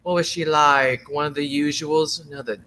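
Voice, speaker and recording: Male actor, gossipy, cynical working man, higher bright voice, period radio drama. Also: steady tone, moderate pace. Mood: wry, cynical. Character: cynical, gossipy working-class London male train passenger. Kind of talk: 1930s radio play acting.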